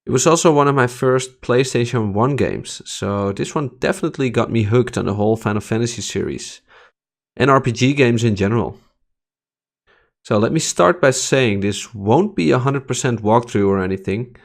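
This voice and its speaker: A man's voice: medium pitch, moderate pace, gravelly. A man in his thirties from the Netherlands, a native Dutch speaker with a Dutch accent, casually introducing a game playthrough in a chatty way with many fillers. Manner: relaxed, chatty, with frequent uh and um. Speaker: let's play host. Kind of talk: casual gameplay commentary.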